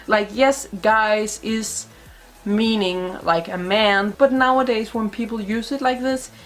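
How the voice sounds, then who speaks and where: A young woman with a Danish accent, a native Danish speaker, chatting bluntly and casually to camera in a vlog, swearing freely and laughing often. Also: mid-range voice. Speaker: vlogger.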